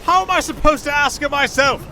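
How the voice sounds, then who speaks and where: Roguish young musician played by a man, higher-pitched, wry and glib, fantasy roleplay with loud background ambience. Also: a little fast, gravelly, a faint crowd, slight background noise, heavily acted. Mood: urgent calling. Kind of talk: fantasy audio roleplay acting.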